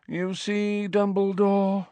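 Sneering old wizard portrait, voiced by a man, sly and drawling, higher pitch, audiobook. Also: monotone, slow, mellow, a little hiss or hum.

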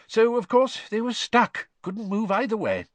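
A man voicing a kindly, excitable middle-aged British wizard father who explains things fussily in an audiobook. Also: high voice, unhurried, no room sound, voice pushed up. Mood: chatty, informative. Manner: eager, chatty, matter-of-fact explaining.

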